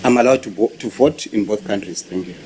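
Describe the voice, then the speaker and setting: Man, low and measured. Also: darkish tone, a little hiss or hum, rough recording. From Zimbabwe. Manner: measured and formal, with hesitant restarts. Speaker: university director answering a committee. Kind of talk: formal testimony. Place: parliamentary committee room.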